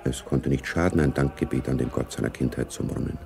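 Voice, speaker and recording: A man's voice: a bass voice, steady tone, brisk, gravelly, a little mellow, exaggerated voice. A man narrating a spy novel in a German radio drama, as a sober literary storyteller. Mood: sober, reflective.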